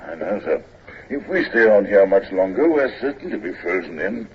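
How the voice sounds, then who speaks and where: An actor playing a dutiful, respectful naval second-in-command in a 1950s radio drama. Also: male voice, deep voice, sing-song, moderate pace, breathy edge, full character voice. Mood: concerned, respectful. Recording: noisy, muffled vintage 1950s radio recording.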